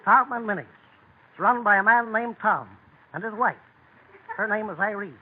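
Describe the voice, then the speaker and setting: Male actor as oblivious, pompous small-town windbag, high voice, noisy narrow-band vintage radio comedy. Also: normal speed, natural voice. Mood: self-important. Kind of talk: old-time radio comedy acting. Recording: thin, noisy old radio recording.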